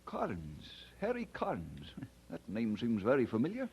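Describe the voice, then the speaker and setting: An actor playing an earnest, kindly, humble preacher in a radio drama, speaking with courteous sincerity. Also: male voice, medium pitch, wildly swooping pitch, measured pace, darkish tone, faint music behind. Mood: curious, modest. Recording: dull old radio drama recording.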